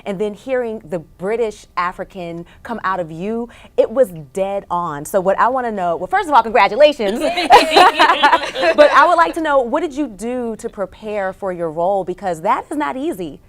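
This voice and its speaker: A woman's voice: mid-range voice, animated, moderate pace, few pauses, fairly bright tone. A woman hosting a casual interview, asking questions and praising her guests with enthusiasm.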